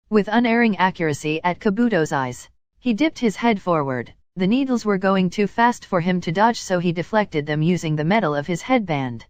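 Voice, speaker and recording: Lower-pitched woman reading a story, dry sound. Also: no echo. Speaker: audiobook reader of fan fiction. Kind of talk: scripted story narration.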